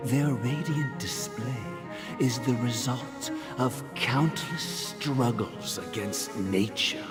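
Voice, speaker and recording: Man playing a slow, wise elderly storyteller. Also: mid-range voice, lightly acted. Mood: storytelling. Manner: patient, measured, fatherly. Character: wise, gentle elderly father figure and storyteller. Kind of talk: video game voice acting. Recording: loud music behind the voice. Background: loud background music.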